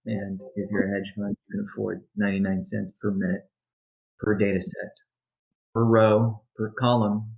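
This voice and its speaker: A man with a fairly low voice gives a business update in the role of a chief executive. He speaks deliberately, breaking his sentences into short, halting phrases as he works through conference call items. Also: gravelly, a little mellow, poor audio.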